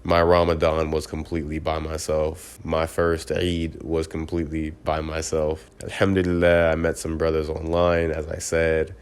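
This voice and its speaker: A man's voice: a bass voice, monotone, normal speed, hardly any pauses, smooth. A man with a congested, stuffy voice, talking earnestly to camera in a casual personal video reflection, often clipping and restarting words. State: congested from a cold. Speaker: vlogger.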